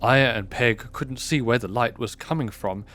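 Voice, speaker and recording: A man narrating a scripted fantasy audio drama in a measured, expressive storybook style. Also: medium pitch, slight background noise. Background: music.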